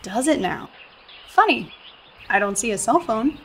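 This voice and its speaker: Actress as sarcastic young woman tourist, doubtful, highish voice, radio play, faint birds. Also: animated, unhurried, fairly bright tone, a little hiss or hum. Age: young adult. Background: birdsong, woodland ambience.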